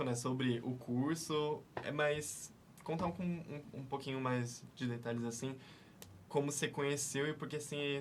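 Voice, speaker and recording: A woman interviewer asking structured questions politely and encouraging her guest with soft agreement sounds. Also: rumbling low, slight background noise.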